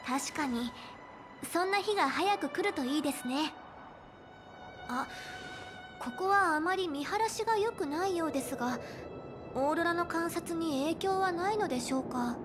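Very high-voiced, bookish, polite Pela. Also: female voice, lively intonation, normal speed, long pauses, music in the background. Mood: polite, curious. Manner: polite formal speech, precise, studious. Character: Pela, bookish young woman, polite, earnest, diligent. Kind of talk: anime game voice acting.

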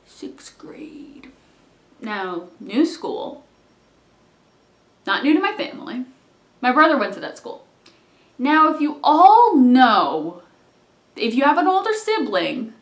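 A young adult sits on a couch and talks through a long personal story, rambling and sometimes dropping into mumbled asides. The voice sits fairly high, and the small room around it echoes noticeably from the camera mic a few feet away. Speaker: vlogger. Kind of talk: casual storytime vlog. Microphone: camera on a tripod a few feet away.

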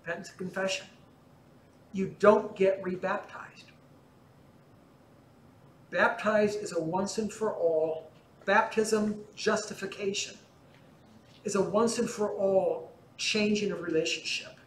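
An older man giving a relaxed, conversational class in a large church, explaining and gesturing as he talks, picked up by a camera from some distance. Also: high-pitched, takes it slowly, pauses often. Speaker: teacher giving a parish class. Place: large church interior in front of icons. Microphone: far camera. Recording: roomy church with faint hum.

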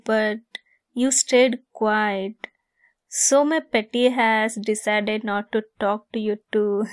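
A woman's voice: fairly high pitch, no echo. A woman acting a giggly, teasing young woman fond of a longtime friend, in a romantic ASMR roleplay. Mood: soft, reminiscing.

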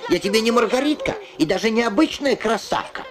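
A woman reading an expressive scripted voice-over for a children's cartoon, on an old film soundtrack. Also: on the high side, fast talker. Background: clear background music.

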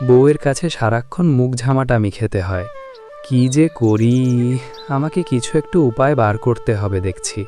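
A henpecked village husband from a cartoon, acted by a man, with a medium-pitched voice full of weary complaint. He sounds meek and put-upon, grumbling plaintively over background music. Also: dark tone, close to an everyday voice. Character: henpecked, long-suffering poor village husband. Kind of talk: cartoon dialogue.

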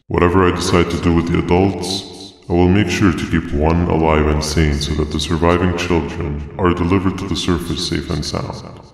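A deep gravelly jellyfish villain. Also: male voice, crowd noise. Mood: cold. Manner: calm, measured menace. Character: menacing jellyfish creature, male, cold and calculating. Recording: echoey, reverberant game audio.

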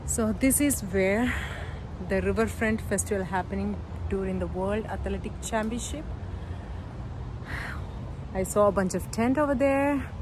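A woman's voice: medium pitch, a little slow, long pauses. A woman in her forties giving a casual guided tour of a riverside bike path as a travel vlogger, chatting to viewers while cycling. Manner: chatty, pointing out sights to viewers. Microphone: phone camera held at arm's length. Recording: loud wind and outdoor noise.

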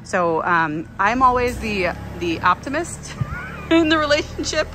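A woman's voice: a little high, very animated, measured pace, music in the background. A woman, a flower farmer, chatting casually on her phone while work goes on, telling stories about her partner with laughs. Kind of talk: casual vlog chat while working. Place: outdoors and in a greenhouse.

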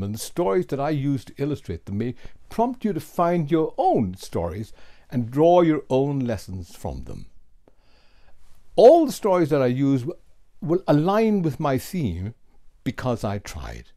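An older man in his sixties or beyond, giving a lesson as a public speaking coach, with emphatic, theatrical delivery and deliberate pauses. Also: mid-range voice, dark tone. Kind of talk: keynote lesson, rhetorical storytelling. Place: small home office with bookshelves.

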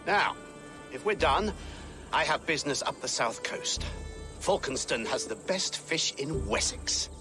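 A scheming older churchman from a video game. His voice is fairly high and very animated, wry and full of theatrical self-importance. Music runs underneath. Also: a little slow. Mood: scheming, haughty. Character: scheming, fussy older male English churchman. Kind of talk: video game cutscene acting.

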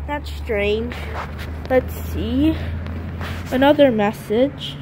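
High-pitched, halting female amateur vlogger. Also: a little mellow. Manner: halting, trailing off mid-sentence. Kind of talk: casual walk-through commentary. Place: outdoors in an empty parking lot. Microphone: handheld camera held by the speaker. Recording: noisy outdoor handheld camera audio.

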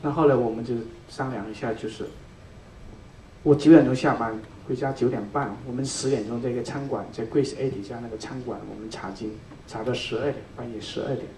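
A middle-aged man speaks earnestly and thankfully in short phrases. His voice is mellow and mid-pitched. He is heard from far off in a roomy church hall. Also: brisk, a little hiss or hum. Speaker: church member giving a testimony. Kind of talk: personal testimony. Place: large church hall indoors. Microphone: handheld mic, picked up from a distance. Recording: echoey hall recorded from far off.

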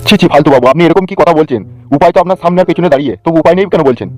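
A man's voice: on the high side, rapid-fire, faint music behind, close to an everyday voice. A man voicing a hot-headed, foul-mouthed village uncle in a comedy cartoon, with a blustering manner and crude slang. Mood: persuasive.

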